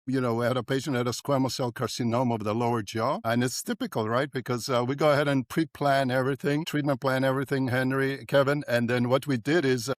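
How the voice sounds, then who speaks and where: An older man from South Africa, a dentist guest in a podcast interview, talking in an animated way and often tagging his points with "right?". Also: mid-range voice, fast, hardly any pauses, dry recording, clean studio sound.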